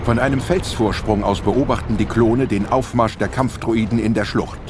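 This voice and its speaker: A man's voice: medium pitch, steady tone, normal speed, background noise. A man narrating a scripted audio play in a dramatic storytelling style.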